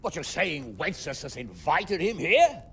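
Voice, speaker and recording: A man's voice: a little high, very animated, unhurried, creaky, a bit bright, a little hiss or hum, heavily acted. A man playing a blunt, irreverent and easily exasperated medieval nobleman in a game cutscene. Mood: incredulous, exasperated. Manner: blunt, informal. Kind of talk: dramatic video game cutscene acting.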